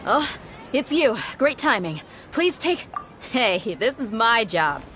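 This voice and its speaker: A woman playing a brisk, protective fighter in a video game, speaking in a curt, bold manner. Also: fairly high pitch, sing-song, bright, forceful tone, a little hiss or hum, full character voice. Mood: brisk, annoyed. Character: brisk, protective female fighter guarding her lady. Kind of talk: English video game voice acting.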